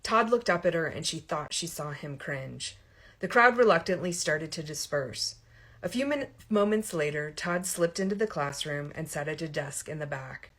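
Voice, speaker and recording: A woman reading a story aloud as an audiobook narrator, in an expressive scripted style. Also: low-pitched, normal speed.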